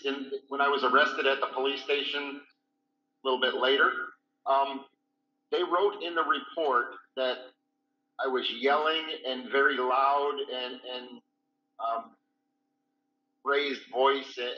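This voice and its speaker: A man with a very bright, sharp voice speaks hesitantly, with frequent pauses and fillers, as he recounts events. He sits away from the mic on a video call, so he sounds roomy, distant and dull. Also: mid-range voice. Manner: hesitant, many fillers, plainspoken. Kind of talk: casual recounting.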